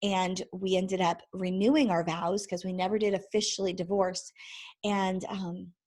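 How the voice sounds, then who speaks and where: A woman in her forties with a croaky, hoarse voice, hosting a chatty faith-based parenting vlog, laughing often and drifting into tangents. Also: fairly low pitch, little pitch movement, unhurried, a little mellow. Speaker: vlog host and ministry founder. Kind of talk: casual faith-based parenting talk to camera. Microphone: microphone she sometimes bumps.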